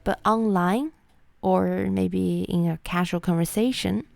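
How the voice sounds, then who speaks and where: A young woman with a Mandarin Chinese accent explains Chinese words at an unhurried pace, saying each one twice for her learners. Her voice is close and dry, with no room sound. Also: on the low side, creaky, darkish tone, no echo. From China. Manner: slow and deliberate, repeats words for learners. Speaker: language teacher. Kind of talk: scripted explainer lesson.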